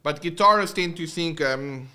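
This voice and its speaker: A man's voice: fairly high pitch, moderate pace. A man with a South African accent, teaching guitar in a casual, rambling livestream with many fillers and restarts.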